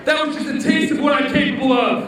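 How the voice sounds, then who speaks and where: A man's voice: very high-pitched, fairly even intonation, quick pace. A man giving a cocky, challenging wrestling promo, repeating his phrases for emphasis. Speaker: professional wrestler. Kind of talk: wrestling promo to a live crowd. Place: indoor wrestling arena. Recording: echoey noisy hall, distant, loud crowd.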